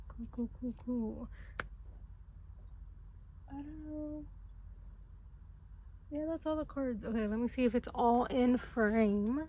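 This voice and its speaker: A woman with a fairly high voice talks through a casual tarot reading. English is not her first language. She sounds gentle and reassuring, hesitating often and filling pauses with little fillers. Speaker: tarot reader.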